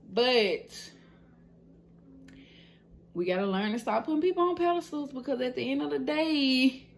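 A woman's voice: mid-range voice, slight background noise. A woman, chatting candidly to her phone camera in a small room, with lots of fillers and slang. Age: 20s. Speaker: nail business owner and vlogger. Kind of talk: casual vlog chat to camera.

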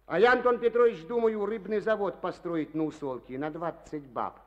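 A man's voice: high-pitched, normal speed, darkish tone, natural voice. An actor playing a boastful, hearty collective-farm chairman in a Soviet radio play, folksy and exuberant. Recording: dull old archival radio recording.

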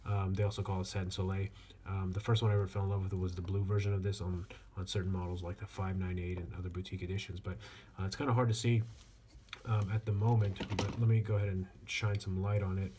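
Low, flat-toned man reviewing casually. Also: fast talker. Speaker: watch reviewer. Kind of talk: casual hands-on product review. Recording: clear steady background noise.

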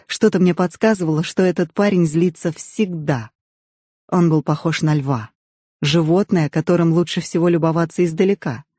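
A woman reading a novel aloud as an audiobook narrator, in expressive first-person storytelling. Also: on the low side, dry recording, studio quality.

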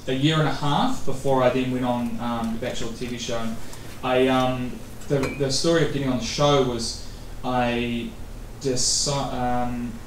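A man's voice: mid-range voice, fairly even intonation, normal speed, few pauses, a little hiss or hum. A man in his thirties from New Zealand, answering interview questions casually at a meetup and rambling with many fillers and restarts. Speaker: guest speaker. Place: event venue. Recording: echoey room from far off.

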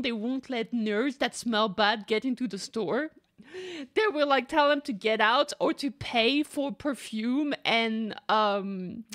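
A young woman streamer giving casual, expressive, joking reaction commentary full of slang. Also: sits high.

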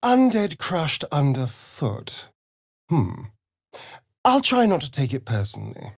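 An actor playing an arch, flirtatious, sardonic vampire rogue in a video game, with a theatrical drawl. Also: male voice, on the high side, wildly swooping pitch, takes it slowly, no room sound, clean studio sound, full character voice. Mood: playful, sly. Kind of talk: video game character dialogue.

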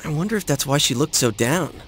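A man's voice: a little high, animated, a little fast, a bit bright, slight background noise. A man playing an awkward, casual teenage schoolboy in an anime-style video game, with a hesitant, stammering, informal way of talking. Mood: concerned, evasive.

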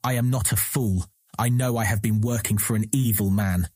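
An actor playing a captured thief, earnest, proud and eloquent, speaking formally and measuredly in a fantasy audio drama. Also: male voice, fairly low pitch, normal speed, a little mellow, husky, dry recording, studio quality. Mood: earnest pleading.

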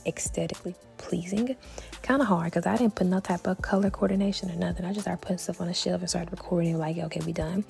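A young woman with a gravelly, mellow voice narrates a cleaning vlog. She sounds tired and drops chatty, half-whispered asides to her viewers. Faint music plays under the voice-over. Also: fairly low pitch. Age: young adult. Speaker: lifestyle vlogger.

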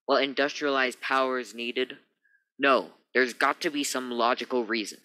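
Space captain for radio play, male actor: sharp, tense, authoritative, mid-pitched, skeptical. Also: fairly even intonation, moderate pace, lightly acted, poor audio. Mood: insistent. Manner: emphatic, authoritative.

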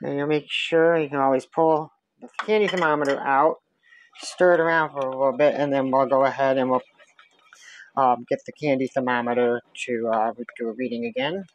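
A woman's voice: rumbling low, steady tone, moderate pace, no room sound, low-quality recording. A woman walking through a recipe step by step in her home kitchen, chatting as she stirs. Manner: chatty step-by-step explaining while stirring. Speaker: home cook. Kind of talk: casual cooking demonstration. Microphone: camera on counter.

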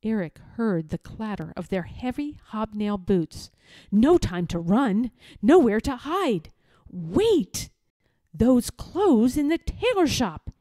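A woman reading a children's story aloud in a deliberate, expressive, dramatic style. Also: on the high side, dry recording, studio quality. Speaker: storybook reader.

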